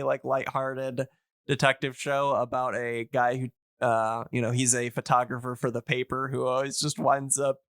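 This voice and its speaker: A man with a bright, forward voice talks casually as a podcast guest. He rambles and hedges his way along, and the sound is very dry and free of room echo. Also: mid-range voice, clean tone. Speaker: podcast guest and radio drama hobbyist.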